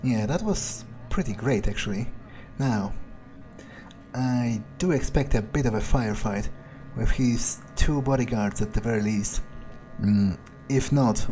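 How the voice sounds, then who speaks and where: A man's voice: lowish voice, warm tone, lightly acted. A man playing a cold, thrill-seeking vampire vigilante, musing aloud in a sardonic way as he narrates a game. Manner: musing, hesitant, sardonic, swearing. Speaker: gamer role-playing a character in a playthrough.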